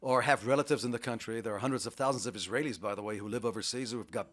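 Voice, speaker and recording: A man's voice: medium pitch, rapid-fire, a bit bright. A middle-aged man speaks with eager enthusiasm in a radio interview. He talks at length, picks up sports metaphors and sometimes restarts a word mid-sentence. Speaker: university vice president, interviewee.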